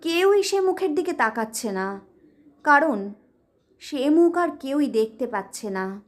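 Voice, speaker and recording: A woman's voice: high-pitched, big pitch swings, unhurried. A woman reading a story aloud in a dramatic storytelling style. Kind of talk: dramatic audio story narration.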